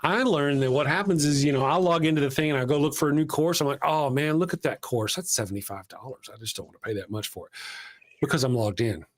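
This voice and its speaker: A man's voice: fairly high pitch, fast talker. A man hosting a live stream, chatting casually and rambling in a self-deprecating way. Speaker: host of a live show.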